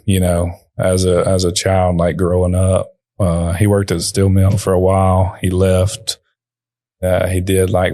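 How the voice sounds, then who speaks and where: Quiet low-voiced young man, halting speech. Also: dry recording. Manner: quiet, soft-spoken, halting with fillers. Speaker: company owner, guest. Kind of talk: podcast interview.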